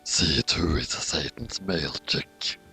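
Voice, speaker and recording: Woman acting a growling male demon, gravelly, very low, gruff, comic story reading, music behind. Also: takes it slowly, pushed and bright, heavily acted. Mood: scornful. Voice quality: gravelly, croaking. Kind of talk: comic fan fiction dramatic reading.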